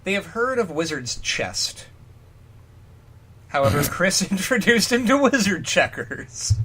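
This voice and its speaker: A man's voice: high voice, very animated, a little slow, bright, forceful tone, slight background noise. A man reading fan fiction aloud in a mock-dramatic style, breaking into jokey, profane casual commentary.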